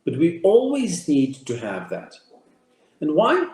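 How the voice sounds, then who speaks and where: A man's voice: a little high, huge pitch swings, slow, a little mellow, echoey room. A man whose first language is Portuguese, giving an emphatic presentation to an audience, counting off key ideas and repeating words as he goes. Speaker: speaker giving a talk.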